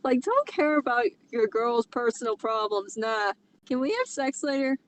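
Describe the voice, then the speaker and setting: A woman's voice: fairly high pitch, animated, moderate pace, a little hiss or hum, low-quality recording. A woman co-host casually bantering and commenting during a fan-fiction reading.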